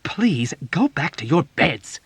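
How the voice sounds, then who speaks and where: A man's voice: fairly high pitch, sing-song, slow pace, a bit bright, slightly rough, dry recording, pitched above the performer's own voice, full character voice. A man doing a pleading young nurse. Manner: pleading, feeble.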